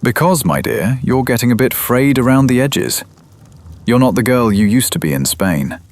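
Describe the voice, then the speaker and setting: An actor playing a cynical, cruel, scheming Roman patrician in an audio drama, with a mocking, theatrical delivery. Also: male voice, medium pitch, lively intonation, normal speed, a little mellow, lightly acted. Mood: sardonic.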